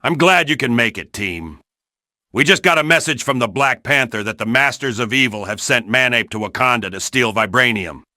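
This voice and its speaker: A man's voice: mid-range voice, lively intonation, moderate pace, sharp tone, no echo, clean studio sound. A man voicing a serious, authoritative commander briefing a hero team in fan-made game dialogue. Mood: grave. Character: serious, commanding male spy chief briefing heroes.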